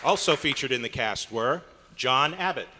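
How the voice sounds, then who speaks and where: Male director-announcer: formal radio-style credit reading, live convention room, long reverb, clear background noise. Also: medium pitch, animated, moderate pace, a loud crowd. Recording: reverberant hotel hall, noisy audience.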